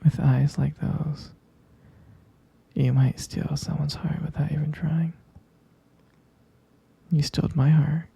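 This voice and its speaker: A soft, breathy performer murmuring hesitantly and affectionately as a doting lover in an intimate ASMR romantic roleplay. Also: mid-range voice, little pitch movement, slow pace, pauses often, no room sound. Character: tender, doting vampire lover soothing a sweetheart.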